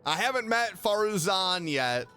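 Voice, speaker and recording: Male reaction host, higher-pitched and bright, expressive casual commentary, light music behind. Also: a little slow, clean tone.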